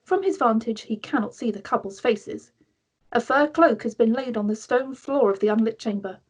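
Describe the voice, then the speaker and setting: A woman narrates screenplay directions at a table read. Her voice is a little high with a breathy, husky grain, and she reads in a scripted storytelling style. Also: normal speed.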